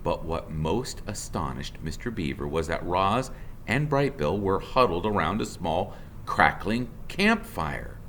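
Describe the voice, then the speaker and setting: A man's voice: sits low, very animated, a little slow, fairly bright tone, slight background noise. A man narrating a children's storybook aloud in the role of a teacher.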